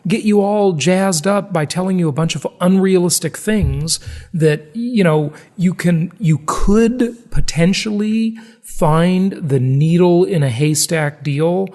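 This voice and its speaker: A man's voice: sits high, slow, dark tone. A man hosting a podcast in casual conversation, with an emphatic, salesy and joking way of talking. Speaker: podcast host.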